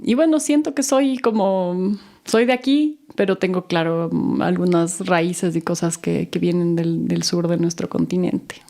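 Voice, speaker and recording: A woman of about forty, talking in a relaxed way as an interview guest, with pauses and fillers. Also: fairly low pitch, creaky. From Ecuador. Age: 40s. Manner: relaxed, hesitant, with many fillers. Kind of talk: casual interview conversation.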